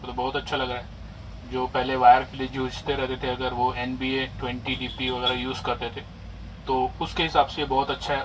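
Distant man over loud noise. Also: mid-range voice. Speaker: product reviewer. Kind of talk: casual demonstration and review. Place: small cluttered shop, indoors.

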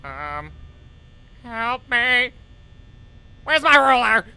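A man's voice: very high voice, very animated, extremely slow, pushed and bright, clean tone, full character voice. A man voicing a cartoonish, creepy schoolteacher who talks theatrically to himself while commentating on a horror video game. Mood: nervous, theatrical. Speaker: gaming video host.

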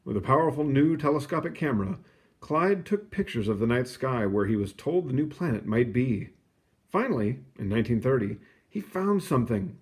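A man reads a picture book aloud for children. His pitch moves freely, with a gravelly creak, and he explains things in an expressive, casual way. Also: normal speed. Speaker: storybook reader.

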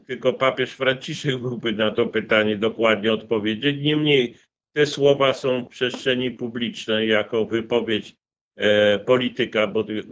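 A man's voice: lowish voice, fairly bright tone. A middle-aged man, a priest, giving grave, hesitant commentary over a video call, with many fillers. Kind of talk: remote interview commentary. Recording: dull lo-fi video call audio.

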